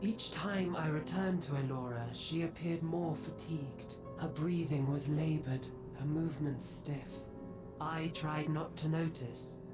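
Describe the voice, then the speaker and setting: Ancient female centaur guardian, grave n formal, deep and really slow, game music behind. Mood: remorseful, grave. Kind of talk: dramatic fantasy game voice acting.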